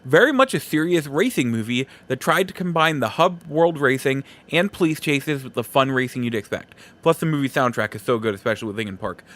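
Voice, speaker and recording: A man's voice: mid-range voice, lively intonation, a little fast, hardly any pauses, a bit bright. A man hosting a solo podcast, giving opinions in an informal, conversational and emphatic way. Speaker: podcast host.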